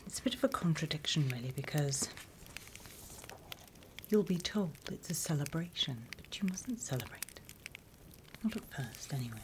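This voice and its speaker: Woman playing a low, whispering British demon teacher. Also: lively intonation, background noise. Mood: calm, instructive. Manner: unhurried, hushed, teacherly, wry. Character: sly female demon instructor, smugly scornful of humans. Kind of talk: whispered ASMR roleplay.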